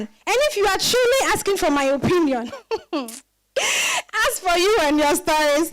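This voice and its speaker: A woman's voice: very high voice, a bit bright, exaggerated voice, low-quality recording. A woman playing a wry, scolding old grandmother with a Ghanaian accent in a dramatized reading, exclaiming and probing with rhetorical questions. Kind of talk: dramatized stage-style reading.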